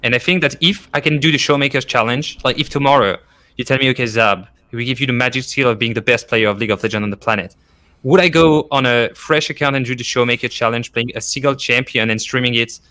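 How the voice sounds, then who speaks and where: A man's voice: medium pitch, quick pace, sharp tone. A man from France with a French accent, explaining his view emphatically in casual discussion as a podcast guest. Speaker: former esports coach, podcast guest.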